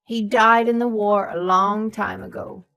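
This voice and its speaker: A woman's voice: mid-range voice, steady tone, a little slow, gravelly, soft background music. A woman playing a haughty, suspicious elderly mother-in-law, scornful and condescending, in a scripted audio story. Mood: bitter, stubborn. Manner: condescending, sharp, calls others sweetheart and darling.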